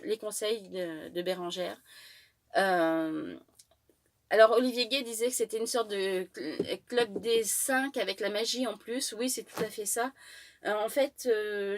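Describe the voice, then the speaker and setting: A woman's voice: mid-range voice, a little hiss or hum. A woman with a head cold, chatting through a book haul, hesitant and full of fillers and restarts. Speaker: book vlogger.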